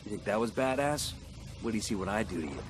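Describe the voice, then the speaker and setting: A man playing a tough, sardonic special forces operator, gruff and dry, in a video game. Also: on the low side, animated, fast, close to an everyday voice. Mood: menacing. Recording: clear noise, crickets and vehicle ambience.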